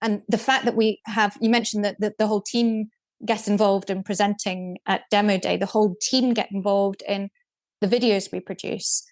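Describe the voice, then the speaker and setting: A woman speaking as a podcast guest, explaining things in a measured way with frequent restarts. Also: medium pitch, steady tone, a little mellow. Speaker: guest, deputy programme director. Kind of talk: casual podcast conversation.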